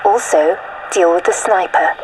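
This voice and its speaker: Brisk radio operator, noisy transmission. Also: female voice, on the low side. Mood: brisk, commanding. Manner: clipped, official. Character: brisk, commanding female military radio operator. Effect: military radio. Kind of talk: video game acting, radio orders.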